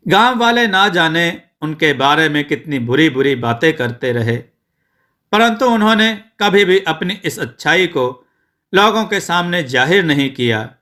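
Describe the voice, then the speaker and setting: A man reading as a loyal old servant, slow, earnest. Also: a little high, lively intonation, bright, forceful tone. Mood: earnest, revealing.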